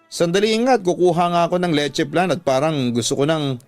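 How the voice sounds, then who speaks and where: Male narrator as a sassy young Filipina best friend, blunt and teasing, raised pitch, radio drama over music. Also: fairly quick, a little mellow, dry recording, lightly acted. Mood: blunt advice.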